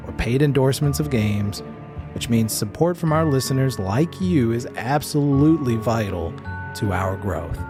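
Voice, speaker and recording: A man hosting and narrating a horror tabletop game as game master, with a suspenseful storytelling delivery. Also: mid-range voice. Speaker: game master and show host.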